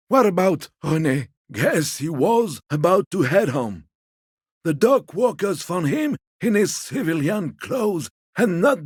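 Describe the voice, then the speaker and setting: An actor voicing a gentle, sociable elderly man in a video game, courteous and wistful, teasing softly and taking his time. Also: fairly high pitch, husky, no room sound, studio quality, exaggerated voice. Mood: reflective. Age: 70s or older. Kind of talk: video game character dialogue.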